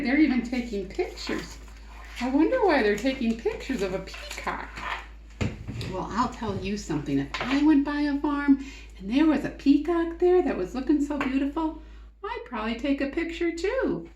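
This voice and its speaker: A woman reading a picture book aloud to children in an expressive, coaxing way, in an echoey room. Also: sits high, moderate pace, lo-fi sound, a little hiss or hum. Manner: expressive, coaxing, asks the listener questions. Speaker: read-aloud teacher and storyteller. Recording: roomy echo.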